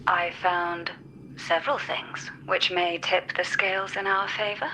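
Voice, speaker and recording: A woman voicing a calm, enigmatic ally in a video game, speaking in a measured, formal and philosophical way. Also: on the low side, a little hiss or hum, low-quality recording. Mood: composed, evasive.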